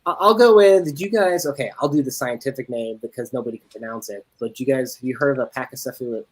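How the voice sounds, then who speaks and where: A woman chatting casually in an expressive, playful manner. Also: a bass voice, fast talker, slightly rough. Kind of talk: casual chat.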